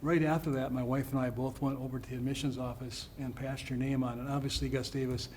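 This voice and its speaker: A man's voice: mid-range voice, little pitch movement, fast talker, a little mellow, a little hiss or hum. An American man making informal remarks as a participant in a public meeting. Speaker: school board meeting participant. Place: meeting room at a school board session.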